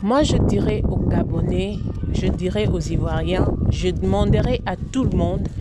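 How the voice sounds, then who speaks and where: A woman's voice: fairly low pitch, moderate pace, heavy background noise. A woman from Congo, hosting a commentary vlog in a preachy, moralizing way that repeats its points. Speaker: YouTube channel host.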